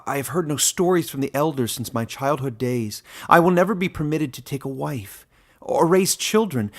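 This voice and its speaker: A man voicing a young outcast log splitter in a fantasy story, emotional and halting, bitter yet humble. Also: on the high side, voice pushed up. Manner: halting, emotional, stammering apologies and protests.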